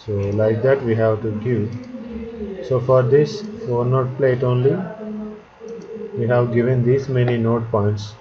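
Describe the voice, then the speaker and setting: A man narrating a step-by-step software tutorial as an instructor, speaking in short, halting instructional fragments. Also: mid-range voice, steady tone. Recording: dull lo-fi audio, background chatter, clicking.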